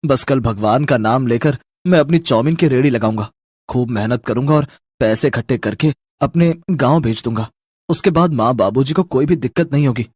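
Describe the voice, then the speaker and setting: A man's voice: medium pitch, normal speed, a little mellow, breathy edge, no room sound, studio quality, lightly acted. A man voicing a poor, hardworking young street vendor in a cartoon story, speaking in an earnest, plaintive and emphatic way. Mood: hopeful, determined. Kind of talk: Hindi cartoon story dubbing.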